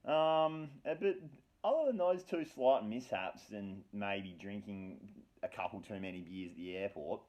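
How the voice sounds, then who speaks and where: Low-voiced man in an echoey room. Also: darkish tone. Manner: heavy casual swearing, slangy; wry, self-mocking. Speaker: storyteller, host of his own story series. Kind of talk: casual first-person storytelling. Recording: echoey room, distant from mic.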